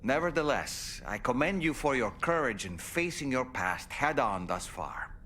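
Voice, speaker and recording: A thoughtful scientific adviser in a video game. He speaks formally and articulately at a medium pitch with lively inflection, over faint noise. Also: moderate pace. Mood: sincere, reflective. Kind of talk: video game dialogue acting.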